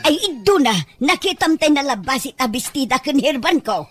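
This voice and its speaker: A proud, fussy middle-aged mother in an Ilocano family drama, played by a woman. She has a high-pitched voice and a grumbling, contrary way of talking, slow to give in. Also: lively intonation, over music. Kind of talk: Ilocano audio drama acting.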